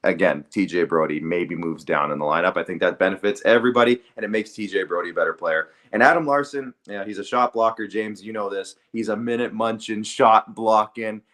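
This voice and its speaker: A man's voice: lowish voice, fairly quick, few pauses, a bit bright. A man co-hosting a sports podcast, talking casually and conversationally with some hedging. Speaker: hockey podcast co-host.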